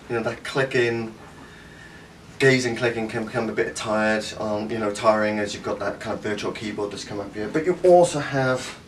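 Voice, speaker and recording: A man in his thirties with a Cardiff Welsh accent, casually reviewing a gadget at home, enthusiastic and rambling with many fillers. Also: mid-range voice. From Wales. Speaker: tech reviewer. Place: home living room. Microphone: camera mic from a few metres. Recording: distant camera mic, faint room noise.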